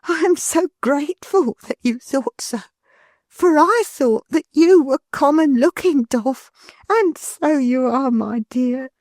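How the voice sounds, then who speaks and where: A woman's voice: high voice, a little mellow, no room sound, clean studio sound, pitched above the performer's own voice, full character voice. A woman voicing a fond, devoted working-class wife and mother who speaks in a tender, earnest way.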